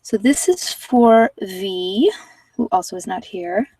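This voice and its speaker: A woman's voice: mid-range voice, animated, slow pace, dark tone, slightly rough, no echo, far from the mic, poor audio. A woman talking in a casual, conversational way as she hosts an informal tarot card reading. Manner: conversational, informal, anecdotal asides.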